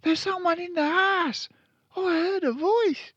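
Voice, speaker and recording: A narrator voicing an alarmed, shrill mother in a storybook. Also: steady tone, slow pace, a bit bright, no echo, lo-fi sound, heavily acted. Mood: frightened.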